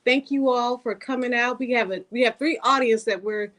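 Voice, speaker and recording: High-voiced upbeat woman host. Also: moderate pace, fairly bright tone. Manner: upbeat, chatty, laughs often. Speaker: bookshop event host. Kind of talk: live-streamed author interview. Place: bookshop in front of a small audience. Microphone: handheld microphone.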